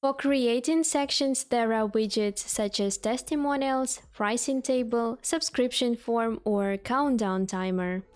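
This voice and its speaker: A woman reading a scripted software walkthrough in an instructional way, setting out lists item by item. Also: a little high, unhurried, hardly any pauses, soft background music. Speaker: software tutorial narrator. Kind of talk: scripted product walkthrough narration.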